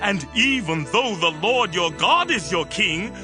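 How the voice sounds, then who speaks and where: A man's voice: high voice, animated. A man playing a stern, solemn prophet admonishing his people in a dramatized scripture reading. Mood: stern, reproachful. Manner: slow, grave, exhorting. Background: music.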